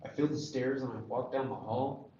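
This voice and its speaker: A man's voice: mid-range voice, normal speed, mellow, lightly acted. A man acting a wary, wounded teenage goth boy in a screenplay table read, subdued and guarded. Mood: hurt, subdued. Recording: echoey room, dull lo-fi, faint noise.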